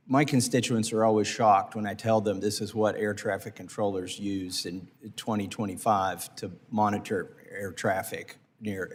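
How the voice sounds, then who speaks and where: A congressman questioning witnesses at a committee hearing, halting with restarts and fillers. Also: on the low side, in a large hall, slight background noise. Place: hearing room.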